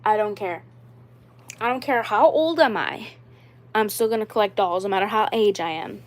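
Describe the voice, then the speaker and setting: A woman's voice: mid-range voice, normal speed. A woman in her twenties talking to viewers of her livestream about collecting dolls. She rambles, repeats herself, and leans on "like" and "you know" as she insists on her point. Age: 20s. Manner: rambling and repetitive, with many "like" and "you know" fillers; earnest and insistent. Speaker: doll YouTuber. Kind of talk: casual livestream talk.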